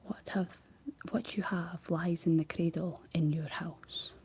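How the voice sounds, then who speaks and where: A woman's voice: on the low side, fairly even intonation, measured pace, darkish tone, slightly rough, exaggerated voice. A woman whispering the lines of a menacing fairy woman in green, nasty and cold in tone.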